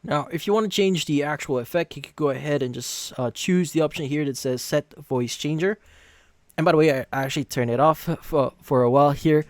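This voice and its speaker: A man's voice: on the high side, a little fast, no room sound. A man narrates a computer how-to as its host. He gives instructions one step at a time, with repeated phrasing. The sound is close and dry. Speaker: tutorial host. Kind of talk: screen-recorded software tutorial narration.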